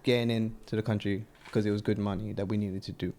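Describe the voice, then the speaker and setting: A young man from Colombia, chatting casually as an interviewee, relaxed and rambling with frequent laughs. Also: fairly low pitch, fast talker.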